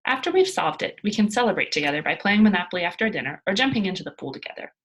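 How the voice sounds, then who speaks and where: A woman's voice: mid-range voice, sing-song, very fast. A woman voicing an earnest young girl reading out her heartfelt essay in a storybook, sincere and emphatic. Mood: earnest, hopeful.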